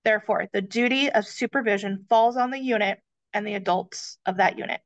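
A woman's voice: mid-range voice, a little fast, no echo, highs cut off. A woman presenting camp check-in and checkout rules on a video call, friendly and talking to listeners as "you guys". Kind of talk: slideshow briefing. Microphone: webcam on video call.

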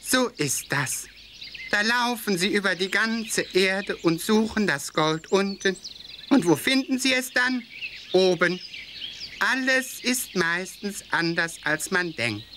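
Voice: mid-range voice, expressive, slow, sharp tone, a little hiss or hum. An actor voicing a wise, philosophizing old owl in a children's audio play. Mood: wise, amused.

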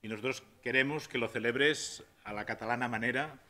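Middle-aged male ceremony host, informal presenting style, medium-pitched voice. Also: brisk, sharp tone. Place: auditorium stage at a conference.

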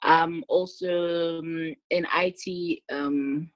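A South African woman in her thirties talking casually about her life on a video call, chatty and quick to laugh. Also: low-pitched, steady tone, no room sound, highs cut off. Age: 30s. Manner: chatty, laughs often, many restarts. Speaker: interview guest, gospel singer. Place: small room at home. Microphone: laptop webcam on a video call.

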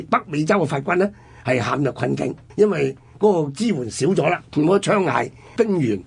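A man's voice: on the high side, vocal fry, slightly rough. A man with a Hong Kong Cantonese accent telling history conversationally as a radio host, in an explanatory manner.

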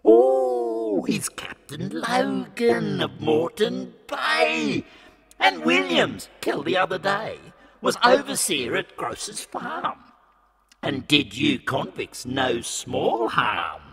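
A man voicing Satan, a scornful devil who shouts and sneers in a high strained voice, in a recited ballad. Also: huge pitch swings, slow, vocal fry, crowd noise, exaggerated voice. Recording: long reverb hall.

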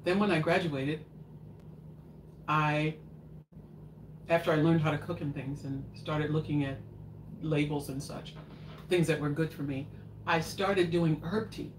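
A woman's voice: low voice, fairly even intonation, unhurried, long pauses. Older woman, chatty home cook, rambling answers, roomy kitchen, heard from a distance, noisy background. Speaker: cooking show host. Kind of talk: casual live chat while cooking. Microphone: far camera in front of counter.

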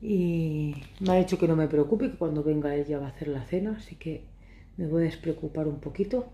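Woman vlogger, 30s, hoarse, rambling, mellow low voice, phone at arm's length, echoey living room. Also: a little fast. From Catalonia. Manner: chatty, ironic, rambling. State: hoarse from shouting. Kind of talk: casual chat to camera. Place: living room sofa, indoors. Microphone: phone camera at arm's length.

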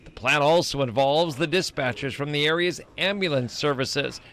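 Bright, high-voiced American male news reporter. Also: normal speed. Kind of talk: live field report and scripted news narration. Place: outside a fire station on a street. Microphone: handheld reporter microphone. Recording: outdoor live report with street ambience.

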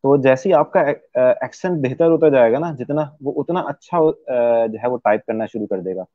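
A young man, explaining his English course in a relaxed interview, with many "uh" pauses. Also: mid-range voice, no room sound. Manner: explains patiently, with frequent "uh" pauses and fillers. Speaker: English teacher, interview guest. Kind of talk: casual explanatory interview talk. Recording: dry, tinny phone-like line.

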